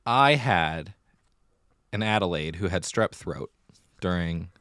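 A man with a low voice talks casually as a podcast co-host. He tells stories in a chatty, slightly theatrical way, and the sound is dry with very little room in it. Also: expressive, takes it slowly, fairly bright tone, dry recording. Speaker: middle school theater director. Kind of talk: casual conversational podcast chat.

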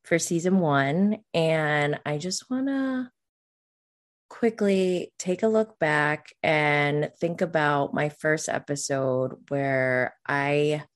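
Unhurried, even-pitched woman chatting candidly. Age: late 30s. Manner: informal, self-deprecating, uses filler "like". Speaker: podcast host. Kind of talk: casual, candid podcast chat.

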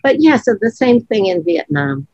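A woman co-hosting a podcast, chatting frankly and laughing often, her voice low from allergies. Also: big pitch swings, moderate pace, darkish tone, dry recording, dull audio. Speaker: podcast co-host. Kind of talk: casual conversational podcast.

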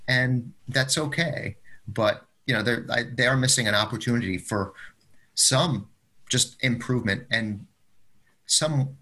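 Husky, bright-voiced man giving casual advice. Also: medium pitch, measured pace. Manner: encouraging, advisory, often checks with "right?". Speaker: podcast co-host. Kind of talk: casual workplace discussion.

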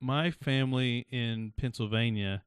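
A man's voice: mid-range voice, a little slow, clear, resonant tone, no room sound, clean studio sound. A man hosting a podcast, chatting casually and rambling with frequent laughs. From South Carolina. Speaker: podcast host.

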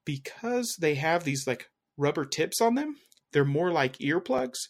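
A man's voice: fairly high pitch, sing-song, measured pace. Chatty man rambling about gadgets. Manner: rambling, full of fillers and restarts. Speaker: podcast co-host. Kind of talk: casual tech chat.

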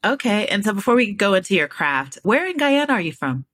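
A woman's voice: medium pitch, sing-song, a little fast, a bit bright, a little hiss or hum. A woman hosting a podcast interview, talking in an upbeat, enthusiastic way. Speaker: podcast host.

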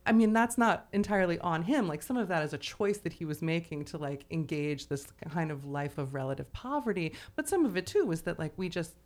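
A woman with a slightly low voice talks as a podcast host in a casual literary discussion. Her delivery is conversational and loose, sprinkled with "like" and "you know". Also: expressive, brisk, few pauses.

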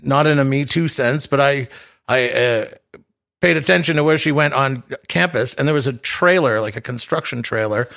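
A man in his forties from the Boston area, a radio DJ, telling stories in a dry, self-deprecating way with halting restarts. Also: mid-range voice, creaky, fairly bright tone. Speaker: radio DJ guest. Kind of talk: casual interview chat.

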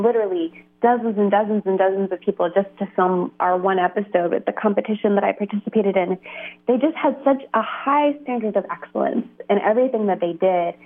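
A woman, a religious sister, telling her story as a guest in a chatty, upbeat way. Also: medium pitch. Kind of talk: radio interview, phoned in. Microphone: phone. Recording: tinny phone line.

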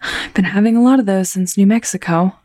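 A woman's voice: medium pitch, a little fast, mellow, no room sound, natural voice. A woman playing a troubled, restless young woman in a found-footage audio drama, confiding hesitantly, often breathily. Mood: haunted, anxious. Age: young adult.

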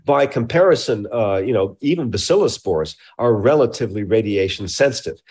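A man's voice: lowish voice, animated, a little fast, a little mellow, a little hiss or hum. A man speaking as an expert scientist in an interview, explaining technical matters in a precise, emphatic way.

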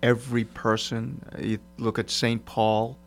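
A man's voice: mid-range voice, little pitch movement, a little slow, vocal fry, a little hiss or hum. Easygoing male co-host telling anecdotes. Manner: conversational, anecdotal, lightly joking. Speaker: talk show co-host. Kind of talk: casual talk show discussion.

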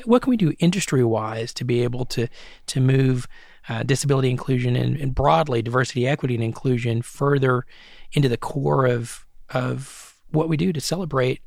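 Gravelly, measured American man in interview. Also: mid-range voice, a little mellow, dry recording, clean studio sound. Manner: measured, restarts phrases, tags with "right?". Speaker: guest, advertising strategist and academic. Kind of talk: interview answers.

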